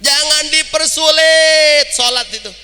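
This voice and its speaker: Guy preaching, joking at the crowd, super bright sharp voice, echoey mosque hall. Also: very high-pitched, takes it slowly. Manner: humorous, teasing, addresses audience directly. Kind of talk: mosque sermon. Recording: long hall reverb.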